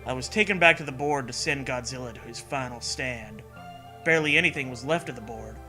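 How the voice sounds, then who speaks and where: A man's voice: medium pitch, fairly quick, pushed and bright, faint music behind. A man reading a scary story as a narrator, with dramatic, earnest delivery. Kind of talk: dramatic scripted narration of a creepypasta.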